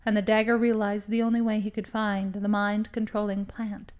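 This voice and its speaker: A woman's voice: medium pitch, little pitch movement, moderate pace, natural voice. A woman playing a scheming, smugly menacing female villain in an audio drama, with theatrical, dramatic delivery. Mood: gloating, menacing. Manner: theatrical, gloating storytelling with hushed dramatic emphasis.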